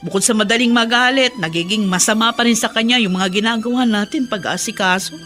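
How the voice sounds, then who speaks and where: A woman's voice: on the high side, quick pace, fairly bright tone, soft background music, close to an everyday voice. A woman playing a weary, exasperated middle-aged mother in a radio drama. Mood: concerned, weary.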